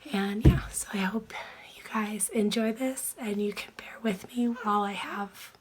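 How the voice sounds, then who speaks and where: A woman who is sick and losing her voice, chatting softly through a makeup tutorial, often dropping into a whisper. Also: mid-range voice, steady tone, measured pace, very noisy. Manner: soft, chatty, trailing into whispers. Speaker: beauty vlogger.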